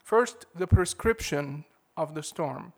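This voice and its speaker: A man preaching a sermon in a measured, emphatic way, leaning hard on key words. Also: a little high, expressive, gravelly, low-quality recording.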